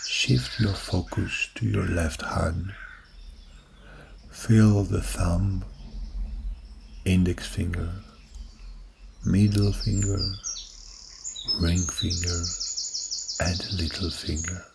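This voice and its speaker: A man guiding a yoga nidra body scan in a soft, calm voice with long pauses. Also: low-pitched, heavy background noise. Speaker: meditation guide. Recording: birdsong clearly behind the voice. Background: recorded birdsong from woods.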